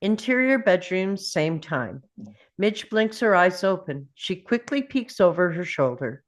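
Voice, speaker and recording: Slow, low-voiced woman reading stage directions. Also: very animated. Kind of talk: scripted narration, present-tense screenplay directions.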